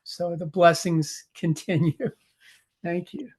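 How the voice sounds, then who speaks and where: A man's voice: a little high, level tone, slow, husky. A man in a group call, speaking in an affirming way about spiritual matters. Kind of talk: casual spiritual chat.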